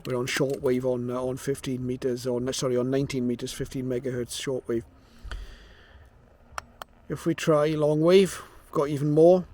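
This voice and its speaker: A man's voice: medium pitch, fairly even intonation, moderate pace, warm tone, slight background noise. A man giving an informal, matter-of-fact product demonstration, explaining things as he goes. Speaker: presenter of a radio receiver review.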